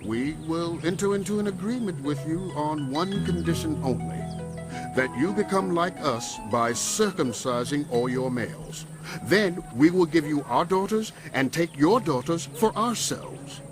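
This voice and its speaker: Stern, crafty brother, higher male voice. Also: animated, a little slow, over music. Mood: firm, deceitful. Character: stern, crafty brother, son of an ancient herdsman. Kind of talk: dramatized scripture audio drama.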